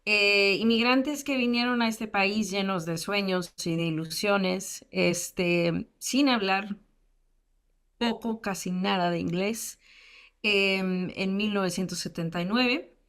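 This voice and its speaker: A woman in her thirties speaks as an invited guest and recounts her childhood. Her talk is candid and full of fillers, with flashes of self-deprecating humor. Her voice sits at an ordinary mid pitch. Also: slow pace, fairly bright tone, clean tone. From United States. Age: 30s. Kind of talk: personal testimony in a lecture.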